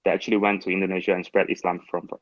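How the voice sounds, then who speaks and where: A man from Malaysia, speaking English as a second language, answers as a guest in casual discussion, blunt and halting with restarts. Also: deep voice, level tone, no echo, lo-fi sound. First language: not English.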